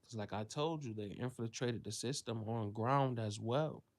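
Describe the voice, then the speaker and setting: A man delivering a profane, ranting monologue, dropping to a low mutter and then jumping to loud, high exclamations. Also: moderate pace. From United States. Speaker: commentator.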